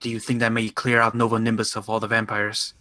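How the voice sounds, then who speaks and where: A man playing a dutiful, wary good mage allied with fairies in an improvised Minecraft fantasy roleplay, speaking in a measured, hesitant, concerned way. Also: lowish voice, completely flat delivery, bright, forceful tone. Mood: thoughtful. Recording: voice over background music.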